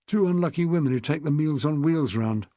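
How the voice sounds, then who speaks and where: A man's voice: a little high, animated, brisk, dark tone, no echo, studio quality, natural voice. A man voicing a guarded middle-aged policeman who answers in terse, clipped lines. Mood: grim.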